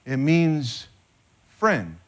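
A man in his fifties preaching a sermon from a church stage, animated and gesturing, his voice rising when excited. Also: fairly high pitch, very slow. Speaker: pastor. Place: church stage with band instruments.

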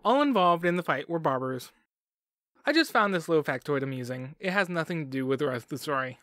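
A man's voice: fairly high pitch, expressive, fairly quick, fairly bright tone, dry recording, clean studio sound. A man hosting a podcast, reading scripted documentary narration in a wry manner. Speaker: podcast host.

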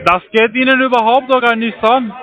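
A man's voice: extremely high pitch, steady tone, a little fast. A man with a foreign accent, a non-native speaker of German, talking as a call centre salesman on a phone call. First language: not German.

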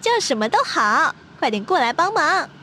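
A woman playing a chatty, bossy young country girl in an audio drama, brisk and blunt. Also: high voice, very animated, pushed and bright, exaggerated voice. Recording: faint background noise.

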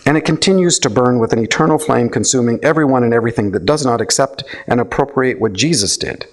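A man reading a story aloud and voicing ego, a theatrical, scheming male spirit who flatters and stalls. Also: mid-range voice, fast talker, lightly acted. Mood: sly. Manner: oily, stalling, wheedling.

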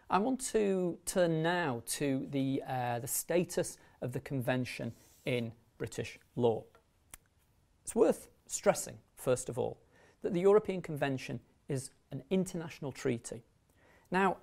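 Male law lecturer with a creaky voice. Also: on the high side, darkish tone. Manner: measured, formal, explanatory. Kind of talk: academic lecture, partly read from notes. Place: indoor lecture recording room, at a lectern. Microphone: lapel mic.

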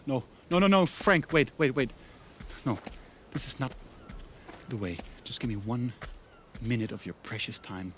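A smooth-talking salesman. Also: mid-range voice, sing-song, a little slow, a bit bright, slight background noise. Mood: persuasive. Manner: coaxing, theatrical, salesy. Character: smooth-talking male salesman, eager and persuasive. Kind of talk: animated film voice acting.

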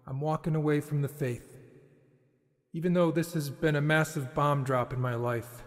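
A man's voice: a little high, steady tone, normal speed, natural voice. An actor playing a friendly, reassuring evangelical pastor in his fifties in an audio drama. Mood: solemn, resigned. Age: 50s. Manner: reassuring, familiar. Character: friendly, encouraging middle-aged evangelical pastor.

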